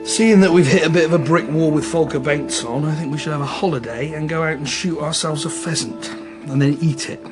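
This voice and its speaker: An actor playing an easygoing small-town policeman with a husky voice in a radio drama. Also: on the high side, brisk, few pauses, over music, lightly acted. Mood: affable. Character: affable, folksy small-town policeman who knows everybody.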